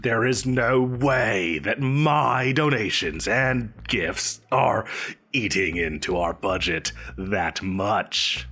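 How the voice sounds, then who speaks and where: A man's voice: lowish voice, animated, slow, bright, forceful tone, slightly rough, full character voice. An actor playing a pompous, flustered supervillain boss in an audio roleplay, theatrical and haughty, stammering when he gets defensive. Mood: defensive, proud. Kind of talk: scripted audio roleplay acting.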